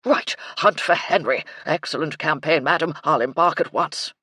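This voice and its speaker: A man's voice: a little high, moderate pace, pushed and bright, breathy edge, no echo. A woman voicing a doddering, eager old British colonel in a storybook, with blustery, clipped upper-class military speech. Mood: eager, gallant. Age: older.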